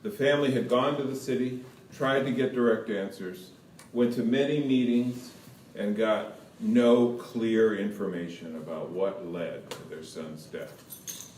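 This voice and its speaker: A man's voice: lowish voice, unhurried, darkish tone. A man giving a public statement as a lawyer at a press conference. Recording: roomy, distant mic, faint background noise.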